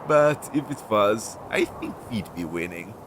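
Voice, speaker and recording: A man playing an anxious, devoted crow familiar in an intimate audio roleplay, speaking softly and hesitantly, with pauses. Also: expressive. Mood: pleasantly surprised. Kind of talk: intimate second-person audio roleplay acting. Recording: low-quality recording with clear noise.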